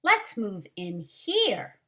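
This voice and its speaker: A woman voicing a cheerful, eager male bird in a storybook, in slow, sing-song exclamations. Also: medium pitch, huge pitch swings, sharp tone, exaggerated voice.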